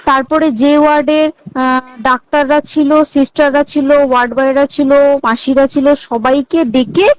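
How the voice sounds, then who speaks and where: A woman's voice: sits high, level tone, normal speed, dry recording. A woman with a West Bengal accent speaking casually and emphatically as a hospital staffer on a recorded phone call, often checking that her listener follows. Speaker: hospital staff member recounting events.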